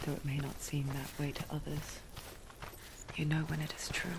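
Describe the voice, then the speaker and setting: A woman voicing a mysterious, grave narrator in a dark fantasy game, hushed, deliberate and confiding, like an intimate inner voice. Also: low-pitched, flat delivery, noisy background. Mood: grave, ominous. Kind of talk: whispered dramatic game narration. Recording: loud music behind the whisper.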